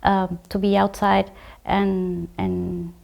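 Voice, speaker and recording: A woman from Guatemala, a graduate student, talking about her study program in a measured way, with frequent fillers and restarts. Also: medium pitch, little pitch movement, creaky, darkish tone. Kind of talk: conversational program overview.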